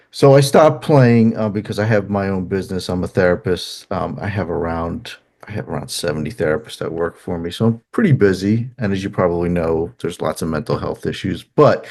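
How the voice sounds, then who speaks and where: A middle-aged man with a New Jersey accent and a lowish voice, answering interview questions in a relaxed, conversational way. He pauses often with ums and restarts his sentences as he explains things. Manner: hesitant, many ums and restarts. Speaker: interview guest, composer. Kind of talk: casual interview talk.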